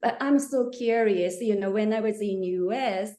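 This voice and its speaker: A woman in her forties with a Japanese accent, a posture coach joining a video call, speaking haltingly with frequent "uh". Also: mid-range voice, mellow, roomy sound. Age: 40s. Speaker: posture coach, audience guest. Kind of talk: casual question on a video call.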